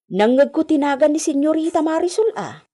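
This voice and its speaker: Filipina maid in a radio drama, warm mellow voice, very up and down, anxious and deferential. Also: female voice, high voice, expressive, brisk. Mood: concerned. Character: loyal Filipina household servant, anxious and respectful. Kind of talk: Ilocano radio drama acting.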